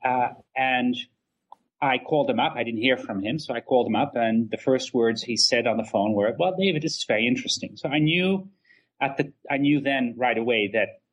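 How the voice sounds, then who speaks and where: A middle-aged man, an academic guest in an interview, talking conversationally in wordy, halting and measured phrases. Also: medium pitch, breathy edge, muffled recording. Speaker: history professor and author, interview guest.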